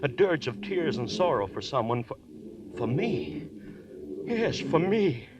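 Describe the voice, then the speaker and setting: An actor playing a tormented, desperate murderer and lecturer in intense, melodramatic 1940s radio horror style, with dramatic pauses. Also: male voice, medium pitch, big pitch swings, heavy background noise. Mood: anguished, brooding. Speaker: narrator and lead actor.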